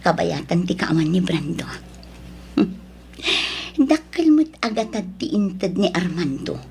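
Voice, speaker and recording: A woman's voice: on the low side, big pitch swings, unhurried, creaky, a little mellow, slight background noise, exaggerated voice. A woman with an Ilocano Filipino accent acting a young wife and daughter in an audio drama. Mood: sly, musing.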